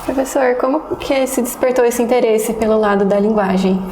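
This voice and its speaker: A woman interviewer with a slightly high, mellow voice. She mostly gives short encouraging replies and now and then asks a question. The room around her is echoey. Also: fairly quick. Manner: brief supportive backchannels.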